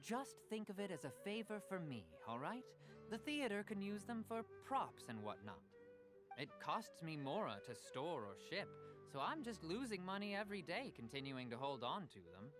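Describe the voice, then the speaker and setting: An actor playing a persuasive, smooth-talking male merchant in a game cutscene. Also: sits high, very animated, normal speed. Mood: genial. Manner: persuasive, salesman patter. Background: game music.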